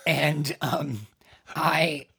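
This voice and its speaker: A middle-aged man co-hosting a podcast in casual chat, with a voice he calls a bit high, rambling with many restarts. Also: extremely slow, vocal fry, bright, forceful tone, breathy edge. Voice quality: says his voice is on the high side. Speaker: podcast co-host.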